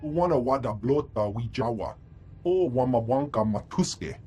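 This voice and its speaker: A gruff alien brute speaking an alien tongue. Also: male voice, medium pitch, expressive, normal speed, darkish tone. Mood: grumbling. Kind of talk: video game dialogue. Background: faint game music.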